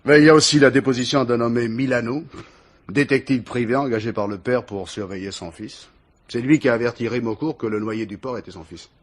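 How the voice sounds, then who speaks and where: A man's voice: medium pitch, brisk, close to an everyday voice. An actor playing a dutiful, dogged police detective reporting informally to his boss in a French radio crime drama. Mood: matter-of-fact. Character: dutiful male police detective, deferential yet dogged assistant.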